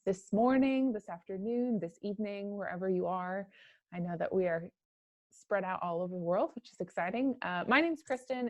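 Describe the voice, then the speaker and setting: A woman's voice: mid-range voice, darkish tone, no echo. A woman hosting a virtual career panel, talking in a friendly way with many fillers. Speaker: host, career center manager. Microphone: video call.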